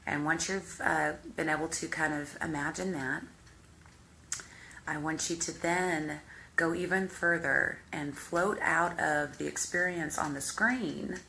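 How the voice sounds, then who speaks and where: A woman hypnotherapist with a deep voice, guiding the listener through a visualization in slow, calm, step-by-step instructions. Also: creaky, a little hiss or hum. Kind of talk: guided visualization exercise.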